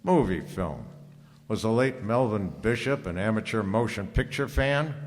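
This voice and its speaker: A low male voice rings through a big hall. It is a man playing a cool private detective from an old radio mystery, slangy and hard-boiled, tossing out lines with sardonic confidence. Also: lively intonation, normal speed, slight background noise, close to an everyday voice. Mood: dry, confident. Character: cool wisecracking private detective, narrating his own case. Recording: reverberant hall.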